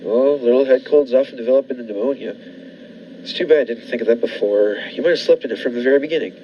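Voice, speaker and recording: A man's voice: mid-range voice, fast talker, lightly acted. Sinister, falsely caring man, acted on muffled radio. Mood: creepy solicitude. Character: sinister, falsely solicitous man tormenting a captive woman. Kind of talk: 1940s radio thriller acting. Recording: dull lo-fi recording, faint noise.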